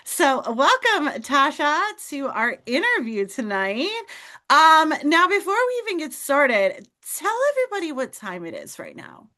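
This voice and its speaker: A woman hosts a team call with upbeat, motivational energy. Her voice is bright and fairly high. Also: big pitch swings, a little slow. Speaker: host.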